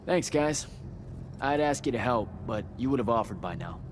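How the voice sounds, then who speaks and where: An actor playing a young male battlemage, earnest and defensive, with a halting, stammering delivery in game cutscene style. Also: mid-range voice, lively intonation, noisy background, natural voice. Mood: dry, resigned. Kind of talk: video game cutscene acting.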